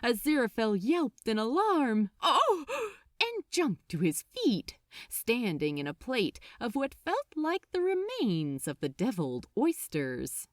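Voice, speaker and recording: A woman narrates a story with animated, expressive intonation. She takes her time over each phrase. The sound is dry and close, as in an audiobook. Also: fairly high pitch, unhurried, dry recording. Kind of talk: expressive scripted fiction narration.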